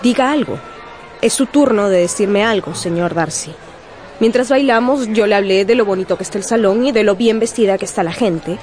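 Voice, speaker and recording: A woman playing a proud, outspoken young gentlewoman with a teasing edge in a radio drama. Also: mid-range voice, brisk, soft background music, natural voice.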